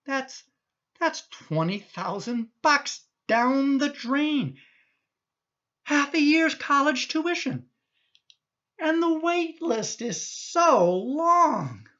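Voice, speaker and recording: A woman's voice: high voice, very animated, drawn-out words, a bit bright, dull audio, exaggerated voice. A woman playing an exasperated, protective middle-aged son in a radio play, indignant and emphatic in manner.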